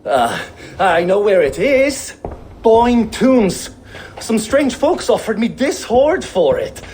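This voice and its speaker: An actor playing a sly, cowardly Norse thief, wheedling in a theatrical manner. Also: male voice, high-pitched, big pitch swings, a little mellow, slight background noise, exaggerated voice. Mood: wheedling, pleading. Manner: theatrical, slow, swooping, stammering pleas.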